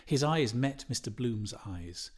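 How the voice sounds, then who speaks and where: A man's voice: medium pitch, animated, a little slow. A man reading a literary novel aloud as a scripted audiobook narration. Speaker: audiobook reader.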